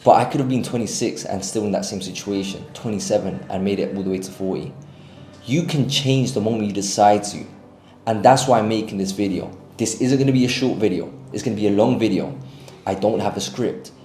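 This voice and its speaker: A man's voice: on the low side, dark tone, reverberant. Earnest young man with a London accent. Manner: earnest, confessional, unhurried with long pauses. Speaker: self-improvement creator. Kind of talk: unscripted personal story to camera.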